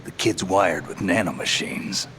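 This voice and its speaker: An actor playing a cynical, world-weary veteran soldier in a video game, speaking in a gruff, terse, sardonic way. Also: male voice, deep voice, measured pace, noisy background. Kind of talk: dramatic video game voice acting. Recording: loud music under the voice. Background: loud game soundtrack music.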